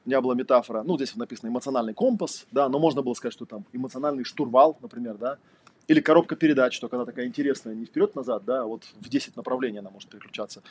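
A man's voice: medium pitch, very fast, a little mellow, breathy edge. A man giving a casual lecture, talking conversationally with lots of fillers and rhetorical questions.

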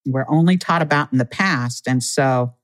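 A man speaking as an interview guest, earnest and explanatory, laying points out at a steady, measured pace. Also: medium pitch. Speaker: guest, Native community organizer. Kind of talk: conversational interview.

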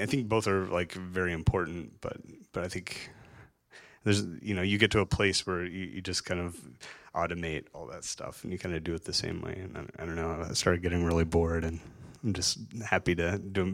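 Low-voiced man rambling about his work. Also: flat delivery, brisk, a bit bright. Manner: rambling, many fillers and restarts. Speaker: artist and designer guest. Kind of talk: live on-stage conversation.

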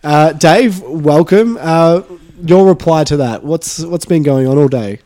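A man's voice: fairly high pitch, unhurried, dark tone. A man hosting a casual podcast from a share-house studio, a bit sick and nasal, rambling with lots of fillers. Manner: rambling, many filler words. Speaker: podcast host.

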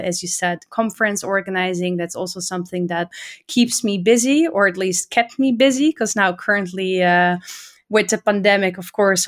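A woman's voice: mid-range voice, fairly bright tone. Upbeat woman guest on a podcast. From Netherlands. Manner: enthusiastic, often adding "right?" and "uh". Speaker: podcast guest, community organizer. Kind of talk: conversational interview.

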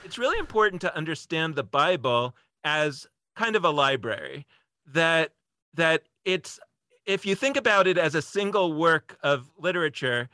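A man's voice: a little high, big pitch swings, moderate pace, bright, forceful tone, no room sound. A man speaking as a podcast guest, explaining ideas in a casual, discursive way as he thinks aloud.

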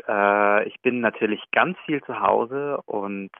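A man speaks from a tinny, narrowband phone line in a dry room, his voice sitting on the low side. He talks in a relaxed, self-mocking way, pausing often with fillers as he recounts things. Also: vocal fry, no echo. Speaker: pianist, guest. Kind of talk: casual phone interview. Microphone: telephone.

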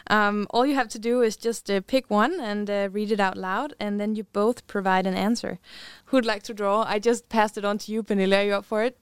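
A woman's voice: mid-range voice, steady tone, fairly quick, hardly any pauses, smooth, no echo. A woman hosting a company podcast interview, upbeat and chatty, with frequent laughs and "uh" fillers. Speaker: podcast host.

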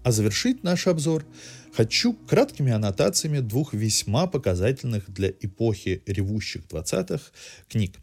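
Man with lively, swooping intonation, reviewing culture. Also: medium pitch, moderate pace, vocal fry, husky. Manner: erudite, literary phrasing. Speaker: podcast host reviewing culture. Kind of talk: semi-scripted cultural review narration.

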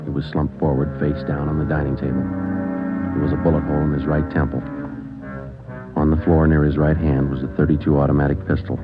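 A terse police sergeant who narrates in a flat, clipped way with a very deep voice and a brisk pace. It sounds like an old radio broadcast: muffled, dull sound with music underneath. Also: male voice. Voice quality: clipped, flat, matter-of-fact. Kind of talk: 1950s radio crime drama.

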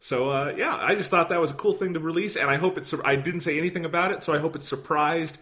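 Podcast co-host, male, a bit hoarse, bright forceful voice, rambling chatty delivery. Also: fairly high pitch, fast talker. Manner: rambling, self-deprecating, many fillers. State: slightly hoarse. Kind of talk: casual podcast chat.

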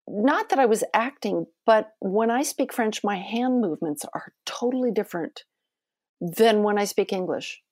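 A woman with a soft voice, a guest telling animated stories in a casual interview. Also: mid-range voice, no room sound, studio quality.

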